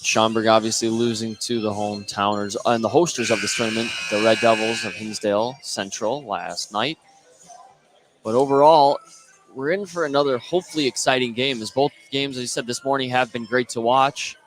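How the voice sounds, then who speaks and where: An American man calling a high school basketball game live as a radio play-by-play announcer. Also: steady tone, moderate pace, soft background music. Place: courtside in a basketball gym. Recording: clearly noisy gym with bouncing ball.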